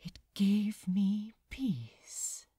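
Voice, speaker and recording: A woman voicing a gentle, weary, very old male angel, formal and tender in a hushed audiobook delivery. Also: mid-range voice, animated, drawn-out words, breathy edge, no echo, clean studio sound, heavily acted. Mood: wistful, weary. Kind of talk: dramatised audiobook narration.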